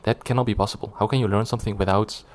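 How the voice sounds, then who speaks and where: A man speaks English quickly in a fairly low voice. He explains his view step by step from a script, with an earnest, reasoning tone. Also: fairly even intonation. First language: Dutch. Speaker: language-learning YouTuber.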